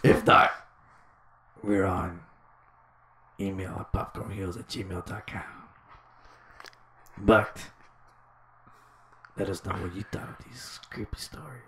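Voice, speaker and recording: Gravelly laid-back man telling a story. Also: low-pitched, slow pace, pauses often, sharp tone, echoey room, a little hiss or hum, poor audio. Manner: laid-back, swears freely, many fillers. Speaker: podcast host. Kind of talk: casual storytelling chat.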